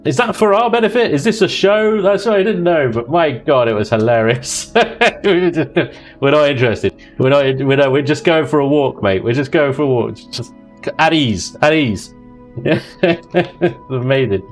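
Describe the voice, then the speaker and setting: A man's voice: fairly high pitch, lively intonation, normal speed, soft background music. A man hosting a livestream, chatting casually and jokily while playing a game. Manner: chatty, jokey, drifts into mock voices and laughter. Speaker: livestream host. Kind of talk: casual chat while gaming.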